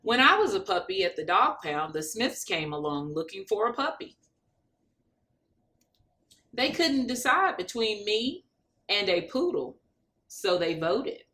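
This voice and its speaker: A curious, chatty storybook dog played by a woman reading aloud. The voice is highish and swings widely in pitch. The delivery is slow and explanatory, made for young children. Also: very animated, bright, forceful tone, full character voice. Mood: curious, explaining. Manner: picture-book dialogue, curious questions and plain explanations. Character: two chatty pet dogs, one explaining, one questioning.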